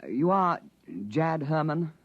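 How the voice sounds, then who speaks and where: An actor playing a hesitant, formal intelligence officer in a 1950s radio drama, with clipped delivery. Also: male voice, fairly high pitch, lively intonation, slow pace, dry recording. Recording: dull vintage radio recording.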